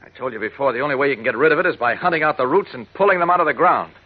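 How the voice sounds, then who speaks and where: Fast, resolute radio-drama newspaperman played by a man. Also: medium pitch, natural voice. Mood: righteous urgency. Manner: firm, moralizing, urgent. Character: resolute righteous adult male newspaper reporter. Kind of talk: 1940s radio acting. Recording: dull 1940s radio recording.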